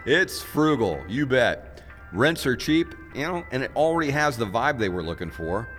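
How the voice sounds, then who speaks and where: A man's voice: mid-range voice, big pitch swings, normal speed, a bit bright, long reverb tail, soft background music. A man acting in a radio play as a genial, mischievous guide with a folksy, wry, conversational manner. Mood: matter-of-fact, wry. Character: genial, mischievous man guiding visitor into hell.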